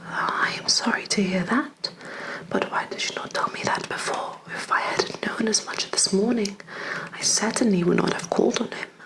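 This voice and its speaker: Whispered voice of a wry, teasing father, read by a woman in a soft storybook reading. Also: medium pitch, normal speed, lightly acted, poor audio. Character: dry, teasing middle-aged father.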